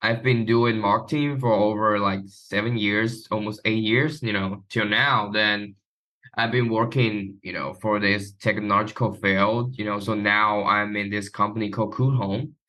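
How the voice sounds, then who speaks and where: A man's voice: on the low side, fairly even intonation, measured pace, bright, forceful tone, poor audio. A man from Taiwan, a guest chatting casually in an interview. His phrasing is loose and sprinkled with "you know". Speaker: guest, marketing professional.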